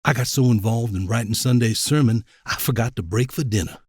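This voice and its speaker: An actor playing a pious, coolly menacing evangelical reverend in an audio drama, with a measured, preacherly delivery. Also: male voice, medium pitch, darkish tone, slightly rough, no echo, clean studio sound, natural voice. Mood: courteous. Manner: preacherly, measured, self-assured.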